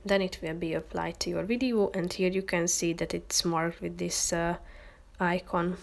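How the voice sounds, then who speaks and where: Woman, slightly low voice, tutorial host, step-by-step instructional voice-over. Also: steady tone, moderate pace, vocal fry. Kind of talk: instructional screen-recording voice-over.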